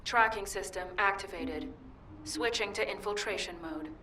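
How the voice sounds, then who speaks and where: A woman's voice: medium pitch, normal speed, sharp tone, echoey room, recorded from a distance, slight background noise, close to an everyday voice. A woman voicing a cold, mission-driven cyborg infiltration agent in a video game, with a clipped, businesslike delivery. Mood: focused, clinical.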